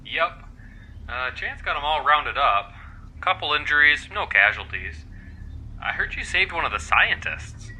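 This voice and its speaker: A man's voice: fairly high pitch, a little slow, slightly rough, heavily acted, low-quality recording. A man playing a casual, gossipy, complaining young space crewman in an audio drama, with informal delivery. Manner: informal, animated.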